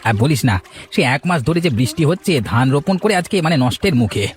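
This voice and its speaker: A man's voice: a little high, sing-song, fast talker, slight background noise. A man voicing a worried, dutiful village farmer in cartoon dialogue.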